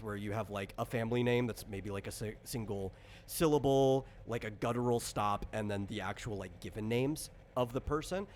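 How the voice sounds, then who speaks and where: A man hosting a casual tabletop character creation chat as game designer, explaining things in a rambling, self-correcting way. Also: mid-range voice. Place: online voice call recorded on computer. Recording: clear background noise on voice chat.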